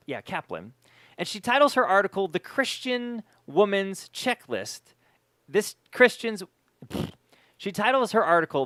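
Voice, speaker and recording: A man's voice: high voice, a bit bright, no echo. A man hosting a podcast in casual chat, speaking emphatically and often repeating words for emphasis. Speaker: podcast host.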